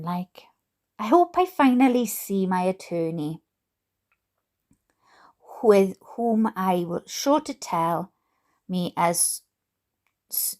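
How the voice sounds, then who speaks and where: A Welsh woman reading aloud casually with chatty, jokey asides to her online audience, in an expressive, self-deprecating way. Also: on the low side. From Wales. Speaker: YouTube host reading letters aloud.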